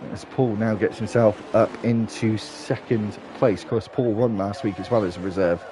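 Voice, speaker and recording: A man's voice: fairly low pitch, normal speed, background noise. Stuffy-nosed male racing commentator. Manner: steady running commentary with small restarts. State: stuffy from hay fever. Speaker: race commentator. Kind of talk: live sim racing commentary.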